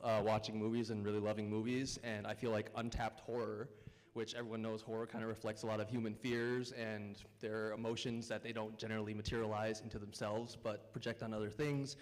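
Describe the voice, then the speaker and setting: A man's voice: fairly low pitch, little pitch movement, brisk. A man from Thailand, a filmmaker, speaking casually and rambling a little at a festival Q&A on a theater stage. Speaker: filmmaker guest. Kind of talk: answering questions at a festival Q&A. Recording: reverberant hall sound.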